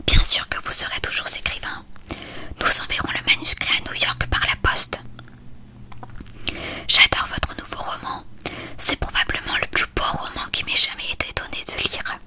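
A reader voicing a desperate, pleading, lovestruck teenage girl from a novel in a breathy whisper. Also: moderate pace, pushed and bright. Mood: adoring.

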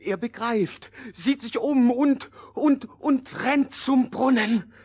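Ein Schauspieler spielt in einem Märchenhörspiel einen aufgeregten Sportreporter, der dramatisch und blumig live kommentiert. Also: male voice, extremely high pitch, slow, darkish tone, husky, heavily acted. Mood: frantic, triumphant. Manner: breathlessly dramatic, florid. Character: excitable sports reporter following a fairy-tale race. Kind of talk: parody live sports commentary in radio play.

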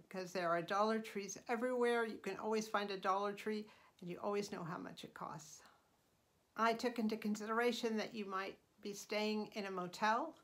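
A middle-aged woman hosting her own channel, talking through casual tips in an unhurried, chatty way with frequent ums and uhs. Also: mid-range voice, a bit bright. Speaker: channel host. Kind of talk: casual vlog voice-over.

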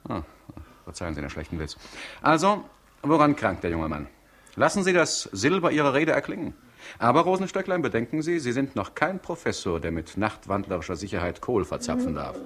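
An actor playing an ironic hospital doctor in a 1960s radio crime play, with flowery, mocking, theatrical speech. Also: male voice, medium pitch, very animated, a little fast, fairly bright tone, slight background noise. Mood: teasing, ironic. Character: witty, ironic hospital doctor teasing his pupil. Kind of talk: 1960s German-language radio crime drama acting.

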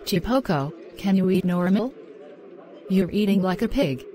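A man voicing a patient young girlfriend in a homemade skit, speaking calmly and gently scolding. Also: sits high, measured pace, a little hiss or hum, voice pushed up. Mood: sweet. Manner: calm, soothing, gently scolding.

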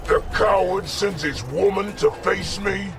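An actor playing an arrogant, brutal warlord emperor in a fighting game, speaking with imperious, booming menace. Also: male voice, a little slow, far from the mic, over music. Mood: scornful.

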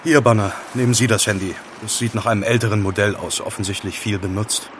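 A man's voice: on the low side, steady tone, fast, fairly bright tone, a little hiss or hum, close to an everyday voice. An actor playing a cheeky young male student who investigates in an audio drama. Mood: wry, soothing. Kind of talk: German radio play acting.

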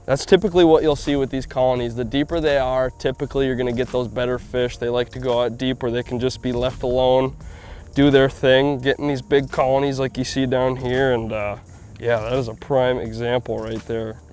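A man's voice: mid-range voice, brisk. A man in his thirties, an outdoors host, explaining fishing technique on a boat in an easygoing, explanatory way. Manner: easygoing, explanatory, laughs often when excited. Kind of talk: casual fishing instruction.

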